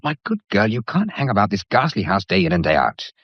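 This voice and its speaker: Flippant gentleman, animated delivery. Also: lowish voice, brisk, breathy edge, no room sound, dull audio, exaggerated voice. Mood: breezy, bossy. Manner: wry, breezy, teasing. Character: flippant, debonair young English gentleman painter, breezily bossy. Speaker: actor. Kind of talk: 1940s period mystery drama acting.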